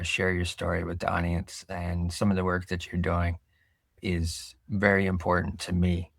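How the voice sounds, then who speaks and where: Very deep, flat-voiced male host. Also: creaky, dry recording, clean studio sound. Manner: relaxed, reflective, with filler pauses. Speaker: podcast host. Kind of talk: casual interview.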